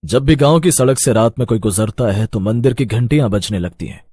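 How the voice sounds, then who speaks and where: A man voicing a solemn villager who gives ominous warnings in an animated horror story. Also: medium pitch, brisk, breathy edge, no echo, natural voice.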